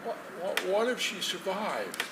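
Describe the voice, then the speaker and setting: An actor on stage playing an elderly, regretful man with a tender longing for lost love, speaking in a halting, emotional way. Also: sits high, very animated. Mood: haunted, regretful. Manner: halting, emotional, repeating words. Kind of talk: stage play acting. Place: theatre stage, distant mic. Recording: echoey stage from far off, noisy.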